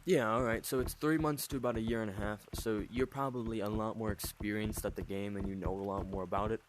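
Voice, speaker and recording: A thirteen-year-old boy with an unusually deep voice, hosting a casual interview with fillers and long rambling questions. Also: fairly even intonation, fast talker, background noise. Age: 13, teenage boy. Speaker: host interviewing players.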